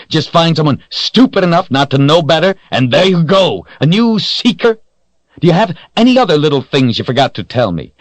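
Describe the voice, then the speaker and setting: A man's voice: a little high, dry recording, voice pushed up, natural voice. A man voicing a troubled young hero, his lines strained and rising into anguished outbursts.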